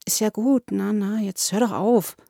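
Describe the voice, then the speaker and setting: A woman as a young female student, audiobook dialogue, cool, curt, earnest, medium pitch, dry recording. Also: fairly even intonation, moderate pace, vocal fry, mellow, no room sound. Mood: dutifully soothing. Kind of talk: audiobook character acting.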